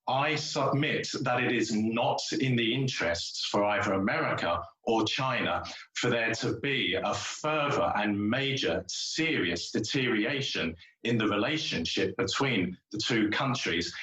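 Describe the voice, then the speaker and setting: A man's voice: mid-range voice, sharp tone. Formal male commentator in echoey room. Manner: formal, measured, deliberate phrasing. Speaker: panel commentator. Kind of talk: live political commentary.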